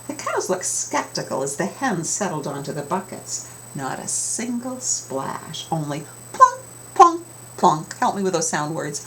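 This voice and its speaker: A middle-aged woman reading a picture book aloud to children theatrically, with drawn-out emphasis, in a small home room. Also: sits low, sing-song, pushed and bright, rough recording. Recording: clear background room noise.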